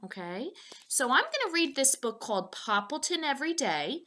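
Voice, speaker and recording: A woman's voice: high-pitched, big pitch swings, moderate pace, pushed and bright. A woman teacher reading a children's storybook aloud, expressively, with asides spoken directly to her young listeners.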